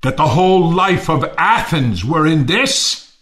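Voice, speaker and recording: A man's voice: fairly high pitch, animated, unhurried, fairly bright tone. An actor playing a bitter, ruined Athenian nobleman turned misanthrope in a Shakespearean audio play, theatrical and scornful. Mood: contemptuous.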